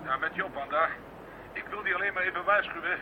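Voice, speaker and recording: A man's voice: high voice, quick pace, crowd noise, close to an everyday voice. A man playing a businesslike police coordinator giving orders over the phone in a radio drama. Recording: dull radio recording with clear hiss.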